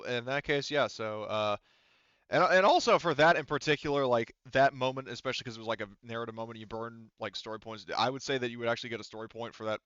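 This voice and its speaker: A man's voice: mid-range voice, a bit bright, smooth, dry recording, dull audio. A man casually running a tabletop sci-fi game as game master, talking informally and swearing freely. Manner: informal, profane.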